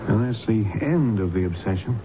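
A man's voice: lively intonation, moderate pace, music in the background, lightly acted. An actor playing a plain-spoken, inquisitive man in a radio drama. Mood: curious, businesslike. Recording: dull, noisy vintage radio broadcast with rumble.

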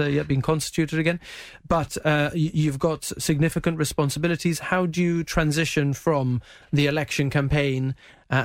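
A man's voice: a little high, no echo, studio quality. A man hosting a live current affairs interview, feeling his way through questions with frequent rephrasing. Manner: hesitant, rephrasing questions as he goes. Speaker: radio show host and interviewer.

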